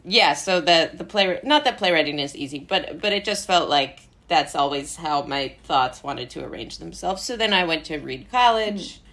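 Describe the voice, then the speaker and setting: An American woman with a low voice talks casually as an interview guest. She rambles conversationally, often breaking off and restarting her thoughts midway. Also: animated, moderate pace, hardly any pauses, a bit bright. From Brooklyn, New York, United States. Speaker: interview guest, playwright and actor. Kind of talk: casual chat.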